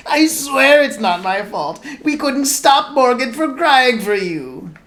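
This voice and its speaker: A reader voicing an agitated fire demon with a crackling, jittery voice, pitched well above their own. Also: very animated, slow pace, fairly bright tone, heavily acted.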